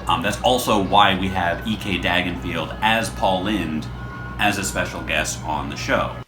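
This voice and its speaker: A man's voice: sits low, measured pace, music in the background. A man hosting a podcast, explaining his show in a casual, rambling, self-deprecating way. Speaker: podcast creator and host.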